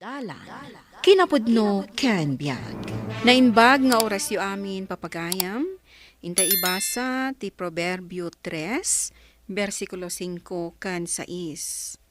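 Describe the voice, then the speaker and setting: Woman, radio host, medium pitch, slow solemn devotional reading, dry studio sound. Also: slight background noise. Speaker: program host.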